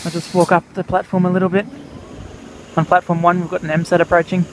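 A man's voice: sits high, flat delivery, fast talker. Australian man narrating at a train platform. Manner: matter-of-fact, naming trains as they pass. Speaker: train vlogger. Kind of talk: casual vlog commentary. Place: outdoor railway station platform. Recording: outdoor camera audio with train noise. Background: passing trains and station noise.

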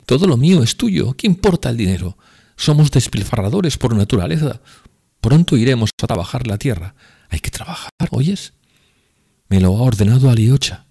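Guy doing a tipsy young lady in a storybook, high and loud, husky edge, swings all over. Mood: insistent. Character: tipsy, impassioned young woman, pleading and fickle. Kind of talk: audiobook character reading.

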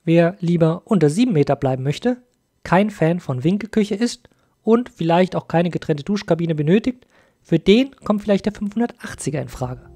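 A man's voice: a little high, animated, moderate pace, dark tone. A man hosting a video as an informal review voice-over, speaking directly to viewers. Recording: music behind the voice. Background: music.

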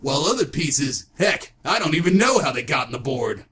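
A man's voice: a little high, sing-song, a little fast, background noise. A man playing a brash, bitter washed-up rocker who boasts and tells his story in slangy, theatrical style for a comic dub. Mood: grandiose. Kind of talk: comic-book dub narration. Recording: music playing behind the voice.

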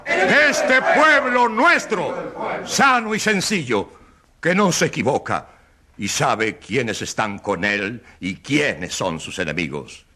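A man's voice: mid-range voice, big pitch swings, takes it slowly, pushed and bright, a faint crowd, full character voice. A man playing a pompous, indignant government official, declaiming in demagogic fashion in a radio courtroom drama. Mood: fervent, rousing. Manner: bombastic, declamatory.

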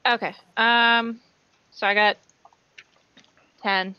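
A woman's voice: fairly high pitch, steady tone, very slow, clear, resonant tone, dry recording. A woman playing a tabletop game over a video call, drawing out her words as she thinks aloud. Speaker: player. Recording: dull lo-fi video call audio.

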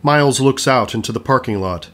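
A man's voice: mid-range voice, fairly quick, fairly bright tone. A man narrating an audio play, reading scripted stage directions. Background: music.

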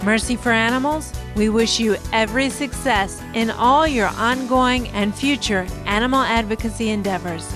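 A woman narrating a documentary program in a slow, gentle, measured scripted delivery. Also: a little high, over music.

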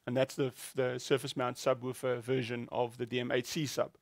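Middle-aged male co-host, creaky voice, dry studio sound, informal and slightly hesitant product explainer. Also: medium pitch, level tone, a little fast, no room sound. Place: small indoor studio set at a desk.

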